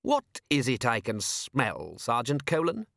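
A man playing a measured, sardonic aristocratic ruler. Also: medium pitch, huge pitch swings, vocal fry, a bit bright, dry recording, clean studio sound, heavily acted. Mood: dry irony. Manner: measured, deliberate, drily sardonic. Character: urbane, dryly ironic male aristocratic ruler.

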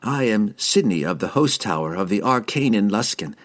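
A man's voice: lowish voice, animated, natural voice. A man reading a composed woman wizard. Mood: formal. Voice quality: formal, measured. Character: composed formal female wizard.

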